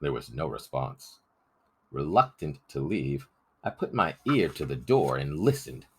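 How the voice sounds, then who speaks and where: A man's voice: extremely low pitch, sing-song, unhurried, a bit bright, husky. A man narrating a story as a scripted audiobook reading.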